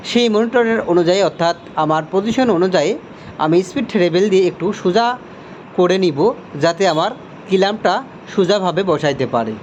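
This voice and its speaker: A man's voice: sits high, a little hiss or hum. A man with a Bangladeshi accent giving a hands-on tutorial as an electrician, explaining step by step and repeating himself as he points things out.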